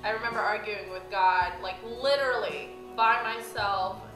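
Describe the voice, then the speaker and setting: A woman's voice: medium pitch, moderate pace. A young woman from the Philippines shares her personal testimony in an earnest, reflective way. Her voice sits in a roomy space. Music plays steadily behind her. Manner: earnest, reflective, with light laughs. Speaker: church member telling her story.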